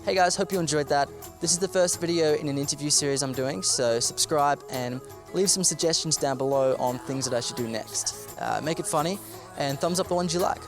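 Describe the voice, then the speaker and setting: A man's voice: on the high side, brisk, music in the background. An Australian man interviewing people on the street and talking to his viewers in an upbeat, chatty way. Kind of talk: casual vlog interview.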